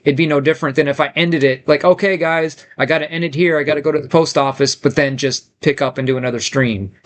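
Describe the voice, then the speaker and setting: A man casually chatting on a livestream, reading viewer comments aloud and rambling with lots of fillers and restarts. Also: on the high side. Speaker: streamer reading viewer chat.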